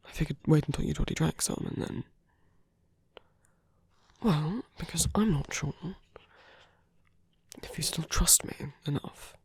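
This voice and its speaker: A man playing a protective, gently teasing villain in an intimate ASMR roleplay, speaking in a soft, hesitant, breathy half-whisper. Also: a little high, a little slow, pauses often, no echo, exaggerated voice. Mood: hesitant, softly teasing. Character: male villain, protective, gently teasing, rescuing a wounded rival.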